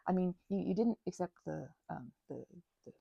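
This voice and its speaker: A woman playing a timid, gentle vampire in an audio roleplay, stammering and hesitant in a soft, breathy voice. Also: fairly low pitch, animated, moderate pace, dry recording, highs cut off, exaggerated voice. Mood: flustered. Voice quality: soft, breathy, sometimes dropping to a whisper. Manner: nervous stammering, hesitant, apologetic, breaking into nervous giggles. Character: timid, grateful, gentle female vampire, shy and friendly. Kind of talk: audio roleplay acting, intimate one-sided conversation.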